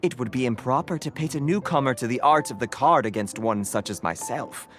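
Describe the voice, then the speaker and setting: A man's voice: mid-range voice, fairly quick, fairly bright tone, soft background music, natural voice. An actor playing a pompous, formal young man devoted to his cat, speaking in a flowery style in a game. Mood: grandiose.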